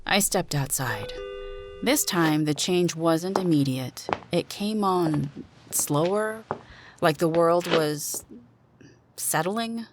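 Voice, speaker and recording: A woman giving a dramatic first-person reading of a suspense story, with deliberate pauses. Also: fairly low pitch, background noise. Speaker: narrator and performer of a story.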